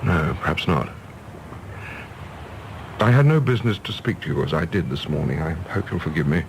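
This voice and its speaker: Regretful formal english bloke in a radio play, expressive, low, faint noise. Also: male voice, moderate pace, low-quality recording. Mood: rueful, musing. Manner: formal, clipped, earnest. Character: earnest, regretful, disillusioned middle-aged English diplomat. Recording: faint background noise and ambience.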